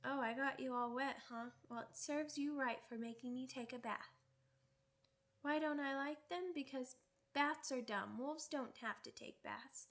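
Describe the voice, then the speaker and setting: A little werewolf cub girl for an ASMR audio drama. The voice is very high and unhurried, with a childish, pouty, rambling manner that is cheeky and earnest. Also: a bit bright. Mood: grumpy, pouty.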